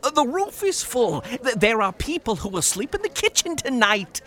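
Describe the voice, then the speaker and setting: A man's voice: extremely high pitch, very animated, normal speed, fairly bright tone, exaggerated voice. A man acting a flustered, reluctant innkeeper in an audio drama, exasperated and stammering. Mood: harried, apologetic.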